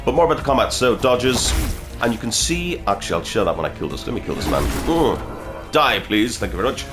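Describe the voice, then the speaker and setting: Deep-voiced man commentating gameplay. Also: sing-song, a little fast, over music. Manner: chatty, swears, reacts aloud while fighting. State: nursing a cold, a bit sniffly. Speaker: gaming video host.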